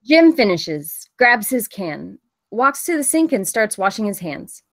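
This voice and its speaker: A woman's voice: medium pitch, sing-song, measured pace. A woman reading the stage directions of a film script as scripted narration at a table read.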